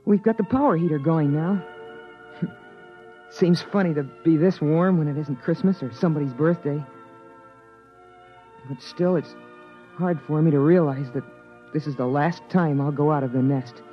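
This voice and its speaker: A man voicing an earnest teenage boy with a fairly high voice. He speaks in a slightly halting way, on a dull old radio recording with faint music behind. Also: natural voice. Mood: wistful. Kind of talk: 1950s radio drama acting.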